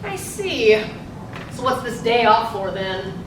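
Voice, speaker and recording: A woman playing a brisk, questioning newspaper boss in a live stage play, in an echoey room. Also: very animated. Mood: skeptical. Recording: echoey noisy room, distant mic, low rumble.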